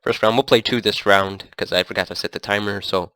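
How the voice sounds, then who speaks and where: A man's voice: fairly low pitch, a little fast. A man with a stuffy nose and a cough, casually rambling as he plays a strategy game. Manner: relaxed, rambling, thinks aloud. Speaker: gameplay commentator. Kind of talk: casual let's play commentary. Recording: loud background noise.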